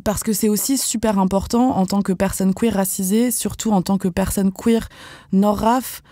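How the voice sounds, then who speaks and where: A young woman from France, a professional dancer, speaking candidly as a podcast guest, casual and animated, with lots of fillers and slang. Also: medium pitch, normal speed, no room sound, studio quality. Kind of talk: podcast interview.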